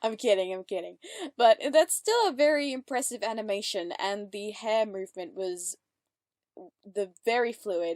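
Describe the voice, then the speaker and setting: A woman's voice: mid-range voice, a little mellow, no echo, clean studio sound. A woman hosting casual reaction commentary, chatty and upbeat, full of fillers and self-corrections. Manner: giggly, upbeat. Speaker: reaction channel host.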